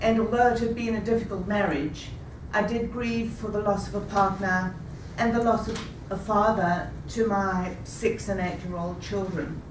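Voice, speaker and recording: A woman in her fifties telling a rehearsed personal story with a measured, deliberate delivery. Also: mid-range voice, steady tone, background noise. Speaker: speaker. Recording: echoey room, taken from a distance.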